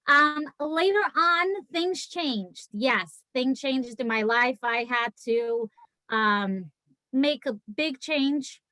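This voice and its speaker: A woman's voice: high voice, extremely slow, sharp tone, no room sound. A woman in her forties from Portugal with a Portuguese accent, chatting on a video call from a room at home and telling her story with many fillers and laughs. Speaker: guest speaker on a team video call. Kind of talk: casual personal story.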